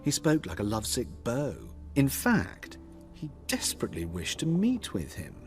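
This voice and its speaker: An actor playing a flamboyant, witty cross-dressing tailor in a fantasy video game, with a theatrical, coy delivery and drawn-out phrasing. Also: male voice, medium pitch, wildly swooping pitch, soft background music. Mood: gossipy. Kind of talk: video game dialogue acting.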